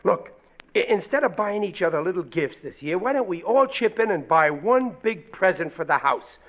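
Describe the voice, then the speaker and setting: A man's voice: high voice, animated, moderate pace. An actor playing a blundering, opinionated middle-aged working-class father in a 1940s radio sitcom, blustering and stumbling over his ungrammatical sentences. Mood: eager. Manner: blustering, ungrammatical, stammering restarts. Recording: dull, lo-fi vintage radio recording.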